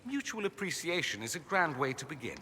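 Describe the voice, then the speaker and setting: Bright, sardonic aristocratic mage. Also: male voice, on the high side, very animated, a little fast, big-room sound, slight background noise. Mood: playful. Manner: sardonic, theatrical, ornate phrasing. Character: witty, haughty aristocratic mage, sardonic and theatrical. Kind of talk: video game dialogue.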